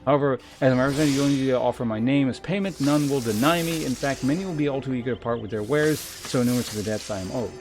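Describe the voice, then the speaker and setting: A man's voice: mid-range voice, rapid-fire, hardly any pauses, over music, natural voice. A man voicing a pompous, self-important market master from a fantasy game, speaking in a haughty, wordy, formal way. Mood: haughty, condescending. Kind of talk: voiced reading of game dialogue.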